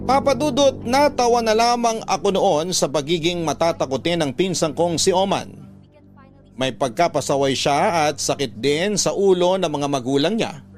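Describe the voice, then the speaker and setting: A man's voice: on the high side, animated, moderate pace, close to an everyday voice. Man acting as male storyteller over guitar music. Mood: reflective storytelling. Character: man recounting his own eerie countryside memory. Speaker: narrator of a letter story. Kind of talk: first-person dramatic narration of a radio story.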